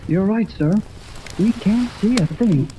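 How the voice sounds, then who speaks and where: A performer with a woman's pitch playing an eager, good-natured teenage boy in a 1930s radio serial, breezy and full of slang. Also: animated, measured pace, mellow, close to an everyday voice. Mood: curious, boyish. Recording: noisy, hissing 1930s radio recording.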